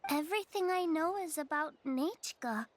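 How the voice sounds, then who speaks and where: A woman's voice: high-pitched, expressive, moderate pace, no room sound. A woman voicing a timid, fragile young girl, sad and hesitant, in a video game. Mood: lost, unsure.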